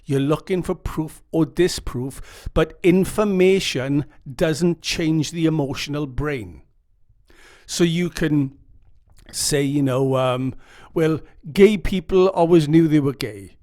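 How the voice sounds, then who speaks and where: A man's voice: on the high side, takes it slowly, mellow. A man with a Welsh accent giving earnest, rambling advice straight to his viewers. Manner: rambling, earnest, reads quotes dramatically. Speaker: YouTube channel host. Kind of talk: casual advice monologue to camera.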